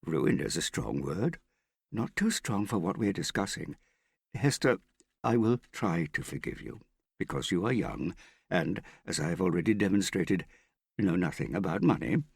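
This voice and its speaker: A man's voice: low-pitched, sing-song, moderate pace, vocal fry, husky, no echo, full character voice. A man voicing a vain, theatrical middle-aged father in an audiobook, wheedling and self-pitying with a pompous, affected way of talking. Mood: wounded, indignant. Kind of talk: audiobook character dialogue.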